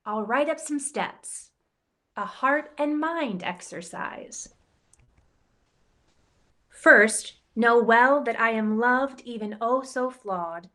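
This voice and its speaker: A woman reads a rhyming children's book aloud in a slightly high voice. She takes her time over each line, with a playful, expressive lilt that lets the rhymes land. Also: slow, long pauses. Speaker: campus ministry director reading a picture book. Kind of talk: storybook read-aloud in rhyme.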